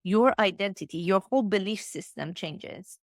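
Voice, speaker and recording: A woman's voice: mid-range voice, normal speed, no echo, studio quality. A woman from Iran, speaking English with slightly phrasing, talking earnestly and enthusiastically as a podcast guest and coach. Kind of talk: casual podcast interview.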